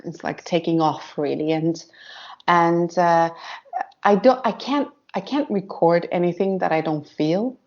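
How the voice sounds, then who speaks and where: Soft-spoken woman with fillers. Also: lowish voice, dull audio. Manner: hesitant, searching for words, many fillers. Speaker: synth pop singer, guest. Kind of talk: casual interview chat.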